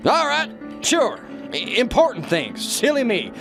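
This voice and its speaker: A man's voice: extremely high pitch, wildly swooping pitch, measured pace, creaky, over music, heavily acted. A man acting a folksy, blustering, dim-witted boss in a satirical radio drama. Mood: folksy, complacent.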